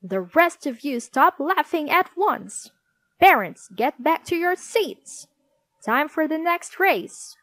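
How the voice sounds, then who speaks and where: A stern woman games teacher, performed by a female storyteller, pitched high and barking commands. She is bossy and severe, with forceful swings in every order, as in a children's storybook. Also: big pitch swings, slow pace, no echo, exaggerated voice. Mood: furious. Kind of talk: children's audiobook character voice.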